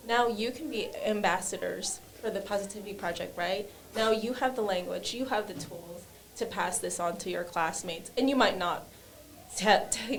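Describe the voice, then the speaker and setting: Woman cheering on the kids on a podcast, encouraging, mid pitch voice. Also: slight background noise, rough recording. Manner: upbeat, encouraging. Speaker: guest from an education program. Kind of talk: encouraging talk to students.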